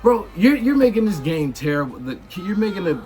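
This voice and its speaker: A man streamer ranting in loud, emphatic, profane commentary. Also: high-pitched, very animated, a little fast, faint music behind. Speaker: game streamer.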